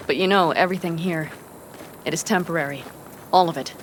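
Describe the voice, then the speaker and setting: An actress as a low-voiced, loyal young nomad woman. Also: a little fast, a little hiss or hum, close to an everyday voice, low-quality recording. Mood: earnest. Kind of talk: naturalistic video game acting.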